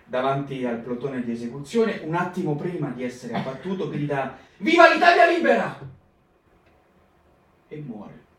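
Guy doing a dramatic reading on the radio, lively pitch, lots of pauses, far off in a roomy space. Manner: slow, broken phrasing with long dramatic pauses. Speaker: performer in a staged reading. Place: radio studio or stage. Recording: roomy radio broadcast with guitar music, from far off.

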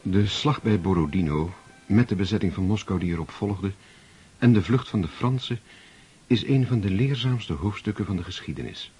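A man narrating a radio drama in a measured, formal, literary storytelling manner. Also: lowish voice, warm tone, husky. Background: music.

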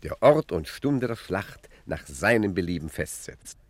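An actor playing a cocky, cunning gentleman gangster boss with swaggering emphasis in a 1950s satirical crime radio play. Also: sits low, sing-song, unhurried, no echo, full character voice. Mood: smug.

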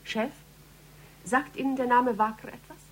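An actress playing a composed police investigator in a radio crime drama, measured, matter-of-fact and probing. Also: female voice, fairly high pitch, fairly even intonation, faint music behind, lightly acted, rough recording. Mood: businesslike.

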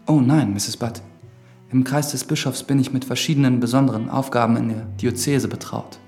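A man's voice: mid-range voice, steady tone, normal speed, a little mellow, faint music behind, lightly acted. An actor playing an earnest, dutiful priest in a German radio drama, formal, pious and probing in his questions. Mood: courteous, firm.

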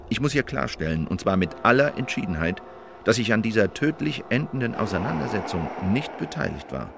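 An actor playing a male eyewitness who recounts a killing in a formal, measured, insistent manner in a scripted radio play. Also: on the low side, a little hiss or hum. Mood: firm, self-justifying. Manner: formal, measured, precise testimony. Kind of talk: scripted first-person radio drama narration.

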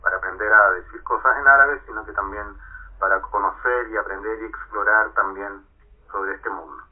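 A man's voice: fairly low pitch, moderate pace. A man speaking as a guest on a radio interview, explaining plans in an earnest way. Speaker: guest, school organizer. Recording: tinny phone-like radio sound.

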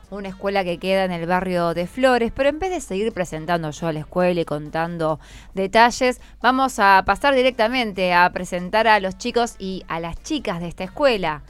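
A woman with a clear, smooth voice and a Buenos Aires accent hosts live radio in a studio. She is encouraging and asks plenty of questions, with faint music behind her. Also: mid-range voice. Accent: Argentine, Buenos Aires. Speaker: radio host. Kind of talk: unscripted school radio hosting with children.

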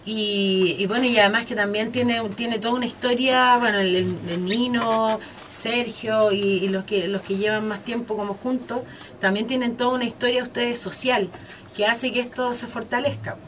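A woman's voice: fairly high pitch, fairly even intonation, moderate pace, fairly bright tone, a little hiss or hum, rough recording. A young woman with a Chilean accent hosting a radio talk show, chatting casually with guests, full of fillers and restarts. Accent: Chilean Spanish. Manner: hesitant, many fillers and restarts. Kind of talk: casual interview chat.

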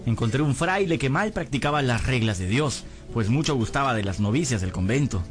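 A man's voice: medium pitch, expressive, fairly quick, over music, natural voice. An actor in a radio drama playing a humble, hapless young servant, earnest and plaintive as he tells his misfortunes. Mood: rueful, narrating. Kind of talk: radio drama acting and scripted narration.

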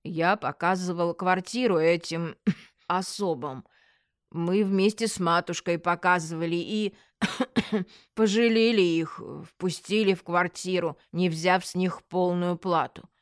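Woman as a halting, evasive male swindler. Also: on the low side, fairly even intonation, clean tone, no echo, clean studio sound. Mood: wounded innocence. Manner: halting, coughing, falsely polite. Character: meek, evasive male swindler, bespectacled mama's boy.

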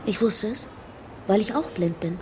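An actress playing an enigmatic, self-assured blind young woman in a radio drama, speaking in a teasing, deliberate way. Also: mid-range voice, expressive, background noise, close to an everyday voice. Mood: quietly insistent. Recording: loud music behind the voice. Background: loud music.

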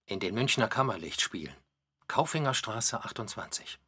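A man's voice: on the low side, expressive, normal speed, pushed and bright. A man giving explanatory, musing commentary in a documentary radio play. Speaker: narrator and commentator.

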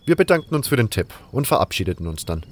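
A man's voice: mid-range voice, sing-song, fast. A man reading scripted first-person narration for a fantasy audio drama.

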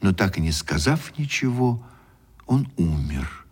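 An actor playing a sympathetic storyteller, narrating a literary radio drama in a measured, expressive way. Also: male voice, low voice, husky, heavily acted. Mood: sympathetic, wistful. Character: sympathetic male storyteller of a sad tale. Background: soft guitar music.

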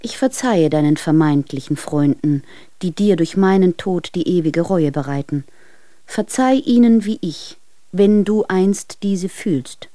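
A woman plays a despairing wife in a radio drama, speaking an intimate farewell. Her timbre is dark and mellow, recorded very dry, and her delivery is solemn, fervent and imploring. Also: lowish voice, measured pace, dry recording, lightly acted. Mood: tender, reproachful. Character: despairing, lovelorn wife pleading in farewell letters.

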